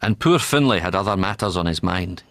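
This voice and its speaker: Deep-voiced, grave Scottish doctor. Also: male voice, animated, brisk, fairly bright tone, no room sound. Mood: wry, grave. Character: grave, worldly-wise senior Scottish doctor. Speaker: actor and narrator in a radio drama.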